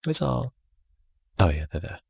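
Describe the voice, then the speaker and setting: A man giving relaxed, casual ASMR game commentary in a soft, breathy, half-whispered voice, musing to himself as he plays. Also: mid-range voice, unhurried, a little hiss or hum. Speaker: gaming channel host.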